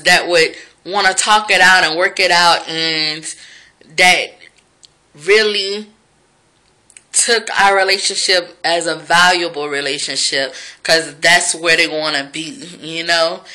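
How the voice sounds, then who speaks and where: Blunt thirtysomething woman, lowish voice with a bright edge, cussing and talking straight to the camera. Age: 30s. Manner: frank, profane, reflective, talking straight to the camera. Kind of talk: candid vlog monologue to viewers.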